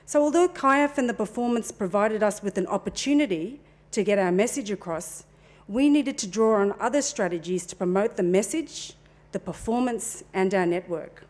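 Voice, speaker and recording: A middle-aged woman talks through a conference presentation on a handheld mic in a meeting room. Her delivery is relaxed and chatty, full of small asides and fillers, at an ordinary middle pitch. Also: normal speed. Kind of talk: presenting a talk at a forum.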